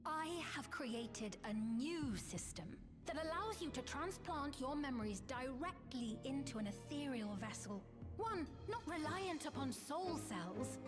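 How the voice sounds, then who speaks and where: A woman playing a gentle, regal young queen in a video game, speaking in a stately, soothing and formal way. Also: high-pitched. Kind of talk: scripted video game voice acting.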